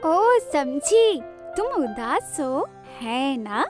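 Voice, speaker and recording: A woman voicing animated female characters in a Hindi cartoon story. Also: high-pitched, very slow, music in the background, exaggerated voice. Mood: coaxing, secretive.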